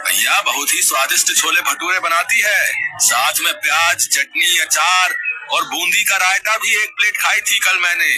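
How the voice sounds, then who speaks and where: A man's voice: high voice, normal speed, natural voice, poor audio. A man voicing a chatty, cheerful market customer in a cartoon story. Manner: chatty, enthusiastic. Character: cheerful male market customer, eager eater. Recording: music loud behind the voice.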